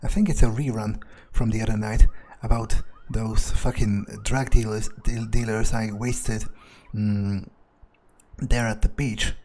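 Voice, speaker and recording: A man's voice: fairly low pitch, little pitch movement, measured pace, a little mellow. A man roleplaying a game character in an improvised monologue, musing hesitantly to himself and swearing freely. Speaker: gamer roleplaying his character.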